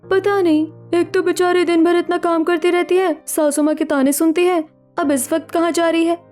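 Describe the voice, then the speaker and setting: A woman plays a caring young city bride in a family cartoon. The voice is very high and full of sympathetic concern. Light music runs underneath. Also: little pitch movement, normal speed, darkish tone. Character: kind, concerned young city daughter-in-law. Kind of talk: Hindi family cartoon story acting.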